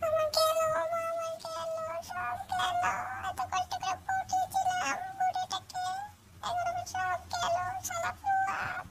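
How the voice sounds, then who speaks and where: A woman voicing a scheming, hot-tempered young gold-digger girlfriend with a squeaky voice in an amateur comedy cartoon. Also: little pitch movement, slow pace, vocal fry, soft background music, full character voice. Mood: whining. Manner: gushing sweet talk or sharp scolding.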